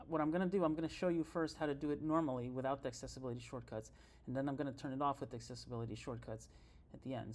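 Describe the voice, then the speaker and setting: A man presenting an informal software tutorial, explaining step by step in a halting, think-aloud way. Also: mid-range voice. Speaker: presenter giving a software demo. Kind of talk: informal screen-reader tutorial narration.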